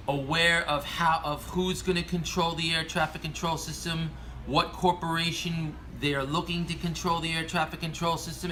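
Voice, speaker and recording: Male American commentator, sharp bright tone, formal scripted delivery with stumbles, faint background noise. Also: fairly high pitch. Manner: earnest, formal reading with stumbles over words. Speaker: aviation commentator. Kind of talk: scripted opinion commentary read aloud.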